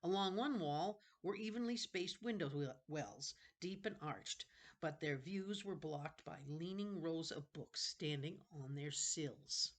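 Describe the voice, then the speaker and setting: A woman with a low voice reads a children's novel aloud. Her narration is unhurried. She now and then goes back over a fumbled phrase. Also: expressive. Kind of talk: storybook narration read aloud.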